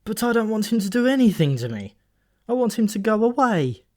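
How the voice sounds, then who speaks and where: A man's voice: high-pitched, very animated, brisk, darkish tone, pitched above the performer's own voice. A man voicing an ordinary modern man in an audiobook, naive and plain, with a questioning manner. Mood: uneasy.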